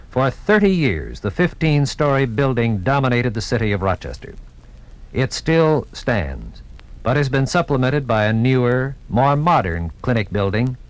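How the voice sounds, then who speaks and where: A man's voice: mid-range voice, poor audio. American male documentary announcer, medium register, dramatic scripted delivery, vintage radio recording. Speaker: narrator.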